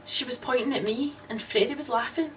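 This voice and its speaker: An actress playing a haunted woman who narrates her nightmare slowly and dramatically in an old radio suspense drama. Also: sits high, fairly bright tone. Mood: fearful, intense. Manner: slow, dramatic, dread-laden. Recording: distant, noisy old radio recording.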